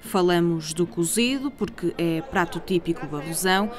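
A woman's voice: fairly low pitch, normal speed, soft background music. A woman with a European Portuguese accent reading scripted news narration as a TV reporter.

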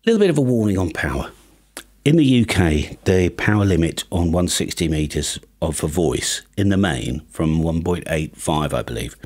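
A man's voice: low voice, big pitch swings, normal speed, darkish tone. A British man in his fifties giving a chatty, tongue-in-cheek comic monologue to camera as a presenter. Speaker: YouTube ham radio presenter. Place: small home studio with acoustic panels. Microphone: studio microphone on boom arm.

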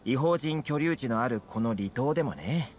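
A man's voice: medium pitch, expressive, normal speed, slight background noise, lightly acted. A man voicing a friendly, easygoing young guide in an anime game, explaining things in a casual, affable way. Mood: explanatory. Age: young adult.

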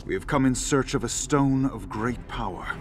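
An actor voicing a commanding sorcerer hero in a game, formal, grave and declamatory. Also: male voice, mid-range voice, normal speed, lightly acted. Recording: clear music behind the voice.

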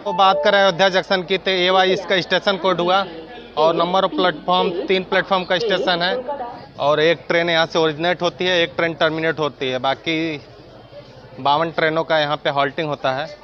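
A man's voice: a little high, level tone, fairly quick, lo-fi sound, a crowd in the background. A man in his thirties narrating a train travel vlog, listing station facts in an upbeat guide-like way, filmed on a phone at arm's length outdoors. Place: outdoors on railway platforms and at a moving train door. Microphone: phone camera at arm's length.

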